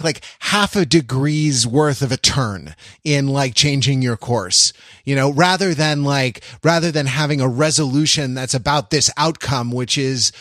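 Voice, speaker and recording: A man chatting casually as a podcast co-host, thinking aloud in a halting, reflective way and often interrupting himself. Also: a little high, fairly bright tone, no room sound, clean studio sound. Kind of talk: casual panel chat.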